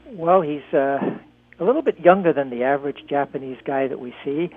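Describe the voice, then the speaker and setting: A man analyzing baseball and hockey as a guest, measured and hesitant with many fillers. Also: a little high. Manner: measured, hesitant, lots of uhs. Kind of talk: phone-in sports analysis. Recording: tinny phone line.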